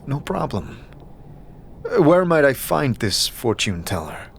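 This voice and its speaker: A man's voice: mid-range voice, very animated, a little slow, gravelly, slight background noise. Tentative nameless wanderer voiced by a man. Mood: helpful, calm. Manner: tentative, questioning. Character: nameless scarred wandering man, polite and searching. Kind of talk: fantasy audio drama acting.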